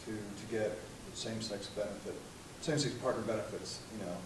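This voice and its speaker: A man's voice: lowish voice, fairly even intonation, fairly quick. A man interviewing someone informally, with hesitant, roundabout phrasing. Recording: echoey, far from mic, faint noise.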